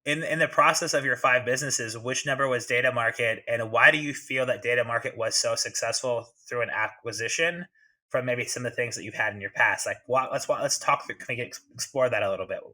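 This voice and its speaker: A man's voice: mid-range voice, a little fast, bright, forceful tone. A man in his thirties hosting a podcast interview, talking loosely and casually and asking long, rambling questions. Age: 30s. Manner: chatty, loose, asks long multi-part questions. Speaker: podcast host.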